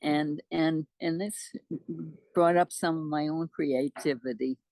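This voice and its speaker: A woman in her nineties from the United States chatting casually as an interview guest, halting and good-humored. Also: deep voice, fairly even intonation, darkish tone, dry recording, low-quality recording. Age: 90s. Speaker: interview guest, amateur postcard poet. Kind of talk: casual chat.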